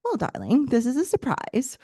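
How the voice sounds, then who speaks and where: A woman's voice: sits high, wildly swooping pitch, moderate pace, dark tone, husky, dry recording, clean studio sound, pitched above the performer's own voice, full character voice. A woman voicing a charming man who puts on a faint British accent, speaking with light, affectionate teasing. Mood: fond teasing. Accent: faint British, put on.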